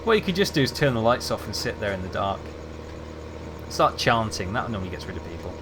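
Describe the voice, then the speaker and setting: A man's voice: fairly low pitch, brisk. Chatty male game streamer over background hum. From England. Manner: relaxed, chatty, answers chat while playing. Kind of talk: casual gameplay commentary, chatting with viewers.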